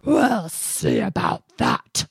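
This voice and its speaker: A woman voicing a big, gruff bear who growls in a storybook tale. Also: mid-range voice, huge pitch swings, drawn-out words, no room sound, clean studio sound, heavily acted. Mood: menacing.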